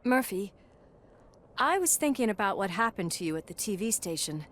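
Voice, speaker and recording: Wry, loyal policewoman, mid-pitched. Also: very animated, moderate pace. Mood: concerned, wry. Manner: wry, earnest, informal. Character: loyal tough policewoman partner, wry and caring. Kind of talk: naturalistic video game acting.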